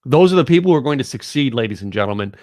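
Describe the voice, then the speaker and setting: A man's voice: medium pitch, lively intonation, rapid-fire, no room sound, studio quality. A man hosting a podcast, delivering a blunt, emphatic and preachy monologue straight to camera. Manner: blunt, emphatic, preachy, repeats "ladies and gentlemen". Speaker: podcast host. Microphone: recorded on camera.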